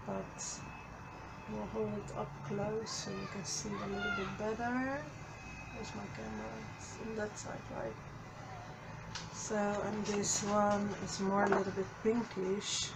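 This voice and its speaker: A woman with a slightly hoarse voice, hosting a casual craft tutorial over her desk, slow and halting, with many fillers and restarts. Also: medium pitch, noisy background. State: a little hoarse. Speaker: craft channel host. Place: craft desk, overhead camera.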